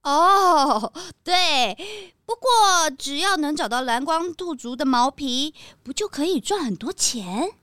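A woman's voice: high voice, expressive, slow pace, few pauses, a bit bright, soft background music, exaggerated voice. A woman playing a greedy, vain young lady in a children's audio drama, with a showy, scheming manner. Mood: greedy daydreaming.

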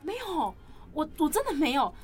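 A woman's voice: high voice, big pitch swings, normal speed, soft background music. A woman playing a friendly, hesitant young classmate in a radio drama. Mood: flustered, awkward.

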